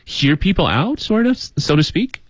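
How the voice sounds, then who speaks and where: Male co-host, animated pitch, jokey, dry studio sound. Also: normal speed, husky, no echo, rough recording. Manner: animated, jokes and laughs often. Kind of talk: casual studio chat.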